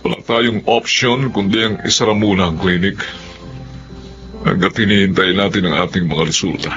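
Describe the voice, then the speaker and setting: An actor playing an earnest, kindly doctor in a melodramatic Filipino radio drama. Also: male voice, deep voice, fairly even intonation, moderate pace, over music, natural voice. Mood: grave. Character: kindly married male doctor running a clinic. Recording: dull lo-fi radio drama audio.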